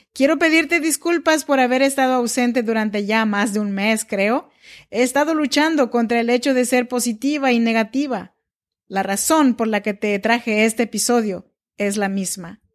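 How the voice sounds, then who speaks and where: Woman hosting a confiding podcast. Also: on the high side. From Mexico. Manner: warm, confiding, talks directly to the listener. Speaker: podcast host. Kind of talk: personal podcast monologue.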